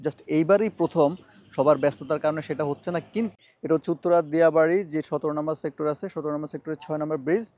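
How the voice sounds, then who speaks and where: Higher-pitched Bangladeshi man narrating a vlog. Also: fairly even intonation, very fast, warm tone. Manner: informal, mixes English words into talk. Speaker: vlogger.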